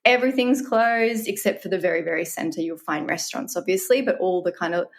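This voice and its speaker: A woman's voice: on the low side, brisk. A woman from Australia with an Australian accent, a wine expert guest talking in a friendly, easy way. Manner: friendly, laughs easily. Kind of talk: casual interview chat.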